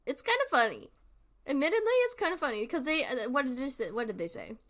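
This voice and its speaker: A woman's voice: sits high, big pitch swings, fast talker, a bit bright. A woman hosting a livestream with casual, informal commentary, rambling and thinking aloud as she goes. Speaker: livestream host.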